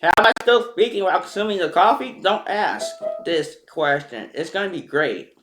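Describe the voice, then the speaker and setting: Male vlogger with a lively, rambling delivery. Loud piano music in the background. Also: high-pitched, normal speed. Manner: meandering, hesitant, with frequent ums and restarts. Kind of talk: rambling casual monologue. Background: loud piano and keyboard music.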